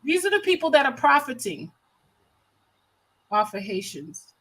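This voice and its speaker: American lady livestreamer with a high voice, casual but really impassioned, repeats stuff to drive it home. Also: big pitch swings, a little slow, fairly bright tone, poor audio. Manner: emphatic, repeats phrases for stress. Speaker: livestream host.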